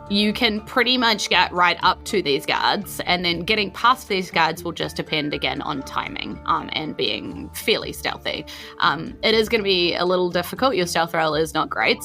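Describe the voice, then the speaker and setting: A woman's voice: fairly low pitch, pushed and bright. A woman with a New Zealand accent running a tabletop game, improvising her narration in an informal, meandering, conversational way. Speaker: game master.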